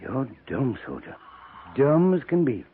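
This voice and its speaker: A man's voice: medium pitch, very animated, narrow, tinny audio. Menacing, wildly swooping frontier knife-man. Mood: scornful, boastful. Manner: folksy frontier drawl, slow menacing chuckles. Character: menacing, gloating buffalo skinner fond of knives. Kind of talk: 1950s western radio acting. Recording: noisy, thin 1950s radio recording.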